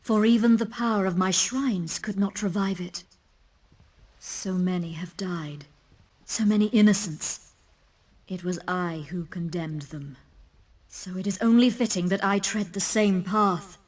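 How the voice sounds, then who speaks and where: A woman's voice: medium pitch, unhurried, a little mellow, lightly acted, low-quality recording. An actress playing a solemn, remorseful queen in a video game, speaking in a regal, grieving manner. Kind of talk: video game cutscene voice acting.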